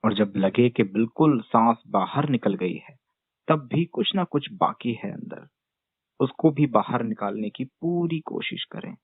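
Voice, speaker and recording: A man leading a guided meditation with gentle, unhurried step-by-step instructions and long pauses, as a teacher addressing a class. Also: mid-range voice, expressive, husky, no echo. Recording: dull phone-like narrow-band sound.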